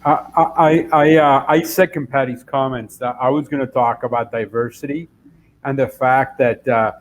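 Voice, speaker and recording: A man's voice: medium pitch, steady tone, normal speed. A man speaking as an investor on a panel, eager and often restarting his sentences. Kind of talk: panel discussion.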